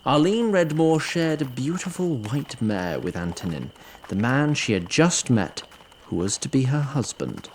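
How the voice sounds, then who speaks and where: A male narrator with a mid-range, animated voice reads a scripted fantasy story. His telling is wry. The recording has clear background noise. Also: medium pitch, measured pace.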